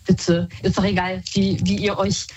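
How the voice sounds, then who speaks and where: Husky woman guest on a dull line. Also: medium pitch, normal speed. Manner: lively, frank, laughs while talking. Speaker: guest, tattoo artist. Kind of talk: casual chat.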